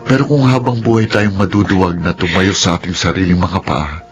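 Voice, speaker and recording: A man's voice: low voice, fairly even intonation, a little fast, dull audio, over music, lightly acted. A Filipino man playing an earnest, devoted suitor in a radio drama. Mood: urging. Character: earnest, devoted male suitor urging independence.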